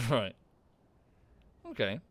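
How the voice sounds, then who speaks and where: A man streaming a space building game, chatting casually with friends and getting loudly excited when things blow up. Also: mid-range voice, drawn-out words. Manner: excitable, swears and laughs at explosions. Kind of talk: casual gaming chat with friends.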